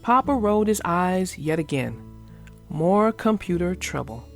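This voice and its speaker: Expressive, creaky-voiced woman reading storybook. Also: fairly low pitch, measured pace, soft background music. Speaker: children's book narrator. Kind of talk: scripted storybook read-aloud.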